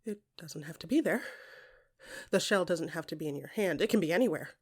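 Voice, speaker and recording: Breathy, low-voiced woman narrating fiction. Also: lively intonation. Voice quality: breathy, often dropping into whispers. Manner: intimate, dramatic, deliberate short phrases. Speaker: podcast creator and narrator. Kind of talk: scripted second-person audio fiction narration.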